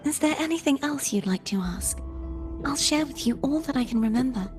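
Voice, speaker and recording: Solemn, higher-voiced young warrior woman. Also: lively intonation, over music. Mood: solemn, reverent. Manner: measured, solemn, formal storytelling. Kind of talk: scripted video game dialogue.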